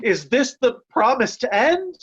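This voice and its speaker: A woman's voice: fairly high pitch, sing-song, slow, slightly rough, dull audio, exaggerated voice. A woman playing a loyal, sorrowful nobleman and servant in a Shakespearean play reading. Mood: sorrowful, earnest.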